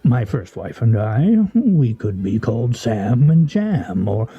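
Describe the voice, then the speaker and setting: An actor playing a pedantic, grumbling husband in a comedic radio play, with pompous, wordy, fussily articulate delivery. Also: male voice, medium pitch, very animated, slow, husky, highs cut off, heavily acted.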